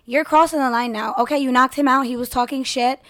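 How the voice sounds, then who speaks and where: A woman in a casual chat who states her opinions bluntly and holds her ground. Her voice sits a little higher than most. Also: steady tone, a little fast, fairly bright tone.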